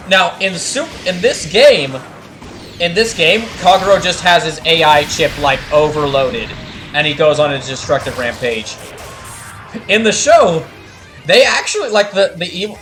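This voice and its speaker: A man's voice: fairly high pitch, fairly bright tone. A man giving casual livestream commentary on a video game, rambling enthusiastically as he explains lore. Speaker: streamer doing live fan dubs of a video game. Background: music.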